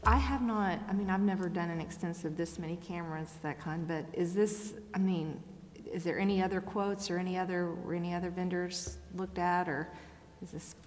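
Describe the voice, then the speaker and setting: A woman's voice: fairly even intonation, normal speed, a little mellow. A woman at a council meeting asking a tentative question, repeating herself. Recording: reverberant chamber, faint rumble.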